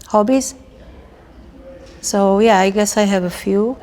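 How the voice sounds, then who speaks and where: A woman's voice: mid-range voice, slow, a little mellow. A middle-aged woman from Israel with an Israeli accent, telling personal stories casually as an interviewee.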